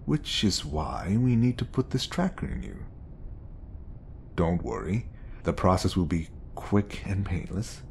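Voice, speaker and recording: Deep creaky scheming bodyguard played by a man. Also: sing-song, a little slow, darkish tone, a little hiss or hum, exaggerated voice. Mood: reassuring, insistent. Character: smooth, scheming obsessive male bodyguard feigning professionalism. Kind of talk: audio roleplay acting.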